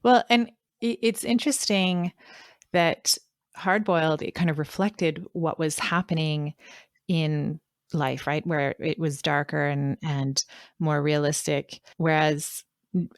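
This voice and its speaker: A woman's voice: low-pitched, no echo, studio quality. A woman hosting a podcast, interviewing conversationally with tentative, thoughtful phrasing. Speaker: podcast host.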